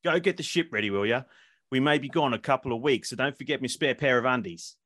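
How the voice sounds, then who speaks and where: A man playing a gruff, short-tempered captain with an Australian accent in a comic audio story, brash and sweary. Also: medium pitch, quick pace, fairly bright tone, lightly acted.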